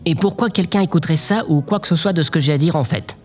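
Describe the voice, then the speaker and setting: Actress as low-voiced, awkward, servile assistant. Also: female voice, a little mellow, soft background music, lightly acted. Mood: matter-of-fact. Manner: deferential, halting, socially awkward. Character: awkward, morbid, servile presidential assistant Babylone, oddly intense. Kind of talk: comedic audio drama acting.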